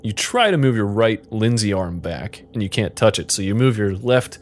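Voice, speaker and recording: Man, game master, lowish pitch, playful improvised narration, joking banter, background noise. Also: expressive, moderate pace.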